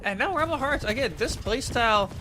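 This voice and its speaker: A man's voice: extremely high pitch, lively intonation, fairly quick. A man doing live play-by-play commentary on an esports match. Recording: clear background noise.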